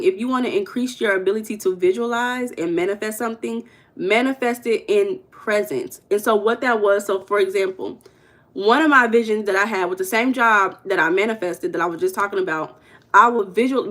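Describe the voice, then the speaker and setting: A woman's voice: mid-range voice, fairly bright tone. A young American woman giving loose, rambling mindset coaching in a casual livestream chat, stumbling and correcting herself as she goes. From United States. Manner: loose, rambling and conversational, with self-corrections and humming between comments. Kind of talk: casual livestream chat, reading viewer comments.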